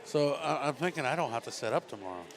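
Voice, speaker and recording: A middle-aged man doing play-by-play and then a joking postgame interview in a high school gym. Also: lively intonation, quick pace, background noise. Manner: chatty, joking, frequent restarts. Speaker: play-by-play broadcaster. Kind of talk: sports commentary and postgame interview.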